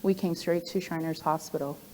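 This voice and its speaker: A woman's voice: low voice, fairly even intonation, brisk, dark tone, slight background noise. A woman in her forties giving a personal presentation to an audience. She speaks conversationally, with frequent "um" fillers and asides. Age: 40s. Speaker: program director giving a talk. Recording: long hall reverb.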